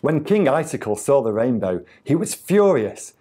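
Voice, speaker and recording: A man reading a children's tale aloud as a storyteller, with deliberate, expressive delivery. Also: medium pitch. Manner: deliberate, expressive reading with acted sound effects.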